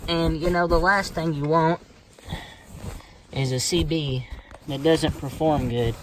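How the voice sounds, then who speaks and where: A plainspoken, folksy man giving a casual hands-on tutorial, talking hesitantly in short phrases with pauses. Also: a little high, fairly even intonation. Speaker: how-to video presenter. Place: inside a parked car. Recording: noisy amateur recording with clicks.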